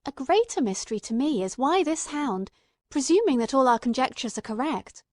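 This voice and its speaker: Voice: high voice, sing-song, moderate pace, dry recording, clean studio sound. A performer playing an earnest, emotional male companion who narrates a radio mystery in a literary, fervent manner. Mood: puzzled. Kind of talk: dramatic scripted narration, old-time radio mystery.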